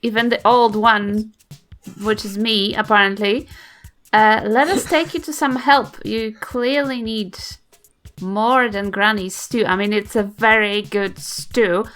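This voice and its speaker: A woman's voice: mid-range voice, steady tone, a little slow, a bit bright, faint music behind. A woman, role-playing casually as a player in a tabletop game.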